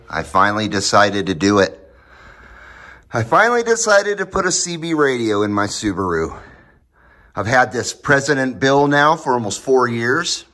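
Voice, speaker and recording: A man's voice: mid-range voice, bright, forceful tone. An American man narrating a casual hobby project vlog in a folksy, informal way. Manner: folksy, lightly swearing, informal. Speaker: host of a hobby radio channel. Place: home garage, indoors.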